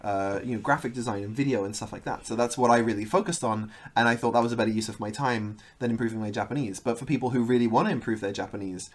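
A man hosting an interview, talking casually in a thoughtful, measured way with some hesitation. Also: medium pitch. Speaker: podcast host.

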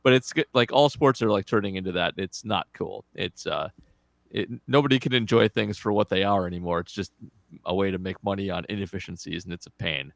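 A man with a low, gravelly voice co-hosts a casual sports podcast. He talks with a dry, wry, grumbling edge, recorded close and dry. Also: brisk, no echo. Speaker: podcast co-host.

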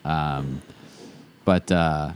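A man's voice: a bass voice, fairly even intonation, extremely slow, a crowd in the background. A man co-hosting a podcast interview who jokes and chats playfully with the guest. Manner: joking, playful. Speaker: podcast co-host. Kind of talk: casual interview chat. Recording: clear background noise.

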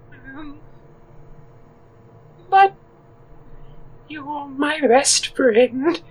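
Audio drama creature: oversized spider person, high-pitched falsetto, painfully slow, timid, tearful, sweet and unsure. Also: male voice, a little hiss or hum, heavily acted, rough recording. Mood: gentle, hesitant. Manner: halting, whimpering, drawn-out delivery. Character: huge, timid, weepy, sweetly self-doubting spider person.

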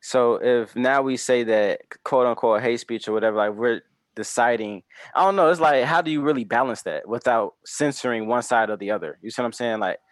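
A man's voice: mid-range voice, a little fast, no echo. A man in his mid twenties explaining things casually as a podcast co-host, slangy and often checking that listeners follow. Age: mid 20s.

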